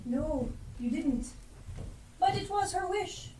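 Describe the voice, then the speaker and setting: A woman on stage playing a ghostly spirit guide who questions an old miser in a measured, pointed way. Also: very high-pitched, expressive, mellow, full character voice. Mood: solemn, probing. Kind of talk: stage play acting. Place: theatre stage. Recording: roomy live stage, distant mic, faint noise.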